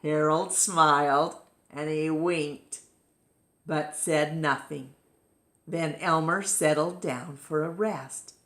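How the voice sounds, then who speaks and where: A woman reads a children's story aloud. Her pitch sits a little low and she takes the narration slowly, shaping it expressively for young listeners. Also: steady tone, fairly bright tone, echoey room.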